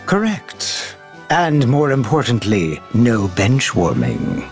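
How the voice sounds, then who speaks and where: An actor playing a male scientist in a video game who wants to atone, speaking in measured, formal phrases. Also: medium pitch, wildly swooping pitch, vocal fry, soft background music, heavily acted. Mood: persuasive.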